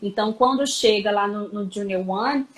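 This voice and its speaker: A woman's voice: mid-range voice, normal speed, rough recording. A Brazilian woman educator explaining school methods in a live-stream chat, with many fillers. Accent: Brazilian Portuguese.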